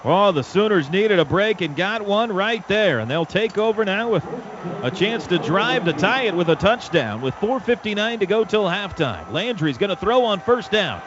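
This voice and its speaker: High-pitched American football play-by-play man, noisy broadcast. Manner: excitable, clipped rapid-fire calls. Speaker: radio play-by-play announcer. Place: stadium radio booth. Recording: dull lo-fi broadcast with loud stadium noise. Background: loud stadium ambience.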